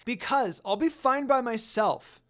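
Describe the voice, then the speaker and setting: Little girl, put on by a narrator, high pitch, loud, stubborn, indignant, feisty, anime fan story. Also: expressive, a little slow. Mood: angry, defiant.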